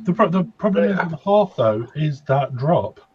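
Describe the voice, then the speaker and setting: A man with a husky voice, chatting casually as a panellist on a talk show. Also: on the high side, lively intonation, moderate pace, muffled recording. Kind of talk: casual chat.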